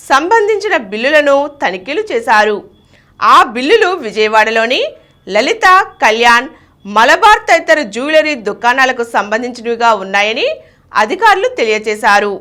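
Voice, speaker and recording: A woman's voice: a little high, sing-song, moderate pace, bright, forceful tone. A woman reading a scripted news bulletin. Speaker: news reader.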